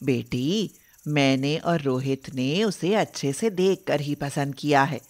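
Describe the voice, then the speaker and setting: Middle-aged mother-in-law, acted by a woman, animated story, suspicious, indignant, scolding, slow-paced. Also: deep voice, voice pushed down. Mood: reassuring, firm.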